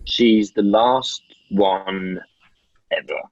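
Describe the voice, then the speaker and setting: A man's voice: on the low side, steady tone, very slow, no echo, highs cut off. An actor reading a worried young male group member in a film script. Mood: concerned. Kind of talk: film script table read.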